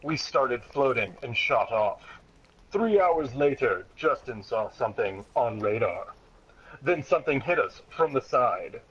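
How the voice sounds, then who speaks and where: A man's voice: medium pitch, expressive, measured pace, fairly bright tone. A man reading a story aloud in a mock-serious, dramatic way, often close to breaking into laughter. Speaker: reader of a childhood story. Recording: dull lo-fi sound, clear background noise.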